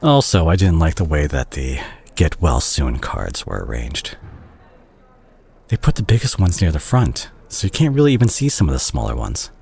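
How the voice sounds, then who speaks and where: An actor playing a remorseful, caring doctor and ex-boyfriend in an intimate audio roleplay, speaking to the listener in a halting, confessional way. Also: male voice, a bass voice, very animated, gravelly, a little mellow, husky, exaggerated voice. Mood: tender, wistful.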